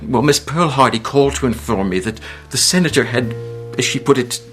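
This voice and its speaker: Actor as an arch, officious hotel manager. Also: male voice, medium pitch, brisk, fairly bright tone, close to an everyday voice. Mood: arch, condescending. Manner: formal, supercilious, arch. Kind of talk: radio drama acting. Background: faint music.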